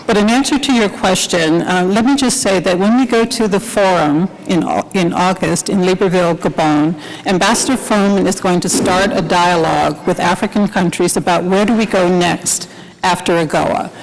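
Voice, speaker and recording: A soft-voiced woman on a policy panel, graciously thanking her hosts before answering. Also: on the low side, moderate pace, rough recording. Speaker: panelist, trade official.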